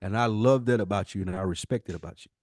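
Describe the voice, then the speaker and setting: A man's voice: on the low side, fast talker, darkish tone, no echo. An American man co-hosting a podcast, arguing casually but emphatically in slangy speech, often in a lecturing way. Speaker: podcast co-host. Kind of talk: heated casual debate.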